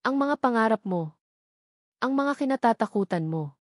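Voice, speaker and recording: A woman with a Filipino accent voicing a gentle, forgiving young sister in an audiobook, earnest and tender. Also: on the high side, expressive, normal speed, dry recording, studio quality. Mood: gentle, pleading. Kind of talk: audiobook character dialogue.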